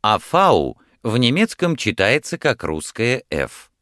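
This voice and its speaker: A man reading the instructions of a language course in a clear, measured way. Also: medium pitch, very animated, a bit bright, no room sound, studio quality. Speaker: course narrator giving instructions.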